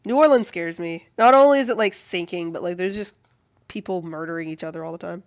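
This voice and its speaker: A woman artist chatting casually and with amusement on a livestream while she draws, in a rambling, animated way. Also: fairly low pitch, fairly quick, dry recording. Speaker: comic artist streaming while drawing.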